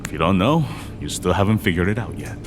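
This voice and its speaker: An actor playing a blunt, gently advising widowed father in an audio drama. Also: low voice, very animated, fast talker, background noise. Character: gruff, wise widowed Korean father.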